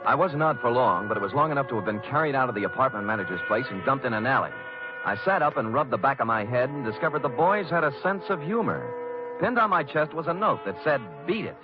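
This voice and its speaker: A man's voice: mid-range voice, animated, fast, natural voice. An American man playing a tough, wisecracking private detective in an old-time radio noir drama, speaking in a wry, clipped manner. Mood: wry, recounting. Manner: hard-boiled, wry, clipped. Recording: dull vintage radio recording with music.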